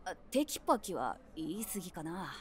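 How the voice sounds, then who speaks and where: A woman playing a nosy, wry middle-aged eavesdropper, narrating her inner thoughts with deadpan, teasing asides. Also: lowish voice, moderate pace. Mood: amused, observing. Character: nosy, wry 46-year-old eavesdropping woman with wild imagination. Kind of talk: scripted comedic inner-monologue narration. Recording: music behind the voice.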